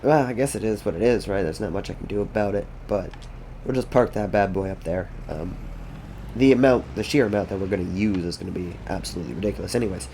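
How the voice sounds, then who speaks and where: A man's voice: on the low side, fast talker, dark tone, slight background noise. A congested, sniffly man giving rambling, casual commentary while playing a farming game, thinking aloud to himself and his chat. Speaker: gaming streamer.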